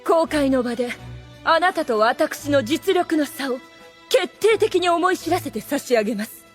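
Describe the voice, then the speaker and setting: A woman's voice: sits high, animated, measured pace, soft background music. A woman playing a proud, scheming demon lady in an anime audio drama, with refined, condescending phrasing and a theatrical manner. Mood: haughty, challenging.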